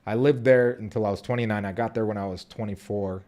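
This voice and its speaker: A man's voice: fairly low pitch, fairly even intonation, fast, dark tone. Rambling man in his thirties. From Colombia, raised in Southern California. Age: 30s. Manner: rambling, restarts sentences, frequent fillers. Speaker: vlogger. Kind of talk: casual unscripted talk to camera.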